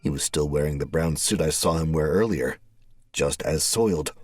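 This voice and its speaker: A man's voice: very deep voice, moderate pace, darkish tone, no room sound. A man reading a first-person story as a dramatic scripted narration. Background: faint music.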